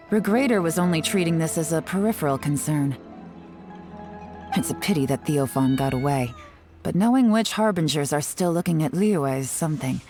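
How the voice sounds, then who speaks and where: A woman playing a sly, confident secret agent in a video game, poised and playfully knowing. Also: low-pitched. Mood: cool, calculating.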